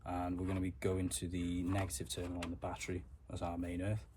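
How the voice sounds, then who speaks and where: Male DIY mechanic vlogger, low and monotone, informal step-by-step delivery, noticeable background noise. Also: quick pace. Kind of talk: casual hands-on tutorial narration.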